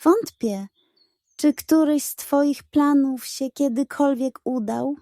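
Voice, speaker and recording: A woman's voice: high-pitched, takes it slowly, dark tone, dry recording, clean studio sound, voice pushed up. A woman voicing a lazy, haughty male cat in a children's storybook, bored, sarcastic and dismissive.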